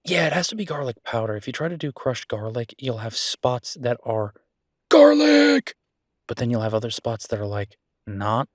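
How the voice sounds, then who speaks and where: A man playing an affectionate, playfully grumbling young werewolf chef in an intimate, casual boyfriend roleplay audio, chatty and halting. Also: mid-range voice, sing-song, no echo, studio quality. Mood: fond, enthusiastic.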